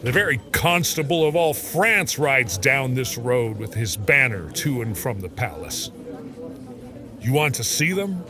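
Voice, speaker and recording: A man's voice: mid-range voice, big pitch swings, a little slow, over music. A man playing a brusque medieval innkeeper in an audio drama. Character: brusque medieval French innkeeper, busy but informative.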